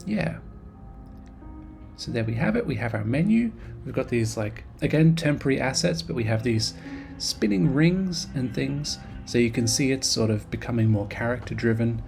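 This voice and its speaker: A man's voice: medium pitch, creaky. A man casually narrating his game development devlog, rambling and self-deprecating, with frequent ums and restarts. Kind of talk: casual devlog narration over screen recording.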